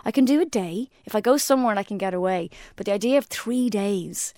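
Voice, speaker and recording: A woman in her forties with an Irish accent, co-hosting a podcast and telling stories in a lively, animated way. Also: quick pace, dry recording, studio quality. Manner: animated storyteller, jumps into high quoted voices. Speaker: podcast co-host. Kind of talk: casual chat.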